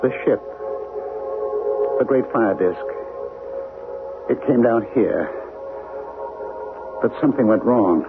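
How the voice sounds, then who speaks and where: An actor playing an obsessed, frightened husband in a 1970s radio drama, speaking earnestly and urgently with dramatic pauses. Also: male voice, medium pitch, phone-quality sound, music in the background, close to an everyday voice. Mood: haunted, dreamy. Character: obsessed, frightened husband convinced of alien invasion. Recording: noisy, band-limited 1970s radio broadcast.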